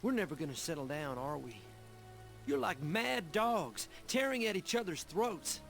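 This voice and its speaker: A devout younger brother of a Western game drama, speaks in a fairly high male voice with a creaky edge. His delivery is solemn, sorrowful and earnest, with music underneath. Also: big pitch swings, moderate pace, a bit bright, exaggerated voice. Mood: anguished. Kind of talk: dramatic game narration and acted dialogue.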